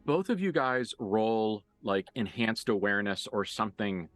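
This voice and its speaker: Low-voiced man narrating a tabletop game. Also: animated, normal speed, dry recording. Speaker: game master. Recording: dry voice over background music.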